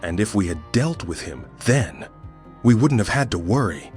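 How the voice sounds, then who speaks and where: A man acting a grim, guilt-ridden ninja chameleon in a comic dub, speaking in a solemn, measured way. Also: on the low side, big pitch swings, a little mellow, soft background music. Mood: bitter regret.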